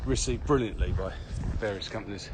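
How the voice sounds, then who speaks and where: A man's voice: fairly low pitch, brisk. British male podcast host, noisy outdoor recording. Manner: informal, recaps and restates answers. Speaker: podcast host asking questions. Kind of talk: casual interview chat. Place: outdoors, walking on a country path.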